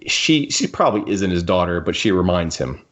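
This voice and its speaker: A man's voice: low voice, sing-song, brisk, fairly bright tone. A man co-hosting a podcast in casual discussion of films and books, conversational and given to acting out imagined quotes, on a lo-fi recording. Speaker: podcast co-host. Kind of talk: casual film and book discussion.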